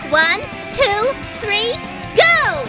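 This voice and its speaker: Woman as a very high, spirited animal heroine. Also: big pitch swings, extremely slow, exaggerated voice. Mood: determined. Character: spirited cartoon girl hedgehog or rabbit heroine. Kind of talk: acted audiobook dialogue. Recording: loud background music.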